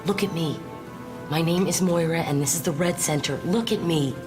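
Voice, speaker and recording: Actress as a low-voiced, tough woman prisoner. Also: normal speed, music in the background, lightly acted. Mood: urgent, tough. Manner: blunt, urgent. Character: tough, blunt, defiant young woman prisoner.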